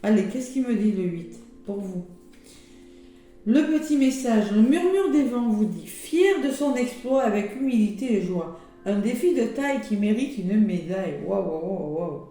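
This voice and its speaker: A hoarse woman giving a casual weekly astrology card reading, chatty and full of fillers and exclamations. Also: medium pitch, lively intonation, a little slow, warm tone. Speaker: tarot and oracle card reader. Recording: very echoey room with faint music.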